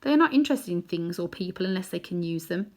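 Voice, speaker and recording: A woman reading a children's novel aloud in an expressive storytelling manner. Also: lowish voice, quick pace. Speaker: storybook reader.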